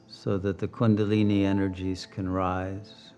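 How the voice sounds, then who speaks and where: A man leading a guided meditation as a teacher, speaking calmly and deliberately with long pauses. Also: low voice, soft background music.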